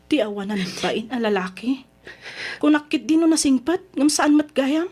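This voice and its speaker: A woman's voice: high-pitched, animated, normal speed, a little mellow, slightly rough. A woman with an Ilocano Filipino accent acting a concerned, insistent best friend in an old-time radio drama, probing and emphatic. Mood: indignant.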